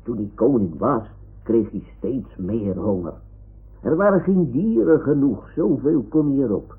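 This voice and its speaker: An actor playing a boastful, childlike toy sea lion who tells things in a halting, self-important way in an old radio play. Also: male voice, medium pitch, lively intonation, slightly rough, heavily acted. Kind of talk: 1960s-70s Dutch radio play acting. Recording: dull, muffled old radio recording.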